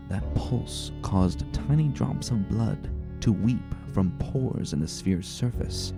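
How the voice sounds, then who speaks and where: A man reading a story as dramatic scripted narration, measured, deliberate and suspenseful. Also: low-pitched, creaky. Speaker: narrator of a fan fiction reading. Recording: roomy voice, faint music bed. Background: faint music.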